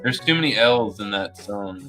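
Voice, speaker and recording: A man's voice: lowish voice, lively intonation, moderate pace. A man chatting casually in a blunt, profane, ranting way. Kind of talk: casual chat. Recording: music playing behind the voice. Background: music.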